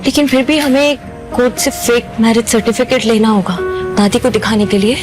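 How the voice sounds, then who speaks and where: A woman's voice: on the high side, fairly even intonation, moderate pace, music in the background, close to an everyday voice. An actress playing a sweet, anxious young woman with a scheme, hesitant and earnest, in an audio drama. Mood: worried, hesitant. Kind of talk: Hindi audio drama acting.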